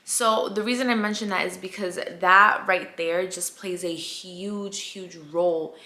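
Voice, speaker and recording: A woman's voice: fairly low pitch, pushed and bright, echoey room. A Colombian-born woman in her twenties, telling a candid storytime to camera, chatty, repeating words and dropping into whispered asides. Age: 20s. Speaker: vlogger. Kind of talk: casual storytime chat to camera.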